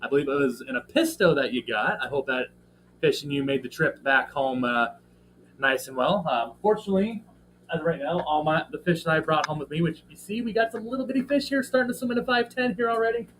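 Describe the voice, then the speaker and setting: A young man hosts a casual live stream, his voice slightly scratchy and sitting a bit higher than most men's. He rambles through his thoughts with frequent fillers and stops to greet his chat. A faint background hiss runs under his talk. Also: animated, fairly quick. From American Midwest. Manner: rambling, filler-heavy, friendly shout-outs to chat. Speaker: livestream host.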